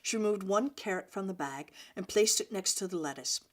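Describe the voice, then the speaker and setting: A woman reading a children's story aloud in an expressive, dramatic way, with pauses and emphasis for young listeners. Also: mid-range voice.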